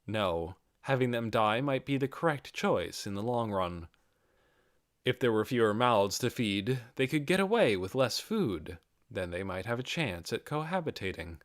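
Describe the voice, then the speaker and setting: A man voicing a blunt, pragmatic male lizardman warrior in a fantasy audiobook, with a dark tone and firm, deliberate delivery. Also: medium pitch, sing-song, dry recording, clean studio sound, lightly acted. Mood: cold, blunt. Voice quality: dark-toned.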